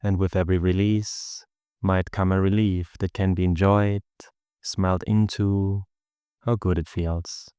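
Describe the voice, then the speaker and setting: A man with a low, clean and resonant voice guides a meditation. He gives calm, measured instructions and leaves space between phrases. The sound is dry, with very little room in it. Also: clear, resonant tone, dry recording. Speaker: meditation teacher.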